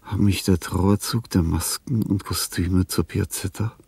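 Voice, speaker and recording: An actor playing a lonely, dying, famous old composer in a radio drama, reflective and pompous, with a husky, gravelly voice. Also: male voice, sits low, fairly even intonation, a little mellow, no room sound, full character voice. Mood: moved, reflective. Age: older. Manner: declamatory, pompous, pausing, emphatic.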